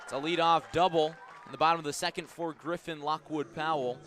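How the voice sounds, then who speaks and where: A man doing live radio baseball play-by-play as the announcer, measured between pitches and lifting into excited calls on the action. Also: faint music behind, a faint crowd. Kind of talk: live radio sports commentary.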